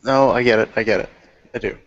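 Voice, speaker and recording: A man's voice: fairly low pitch, fairly even intonation, quick pace, fairly bright tone, lo-fi sound, a little hiss or hum, natural voice. A man playing a reluctant, grumbling changeling in a tabletop game, weary and sardonic. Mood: reluctant, disgusted. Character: grumbling, reluctant male changeling who loathes sterile places.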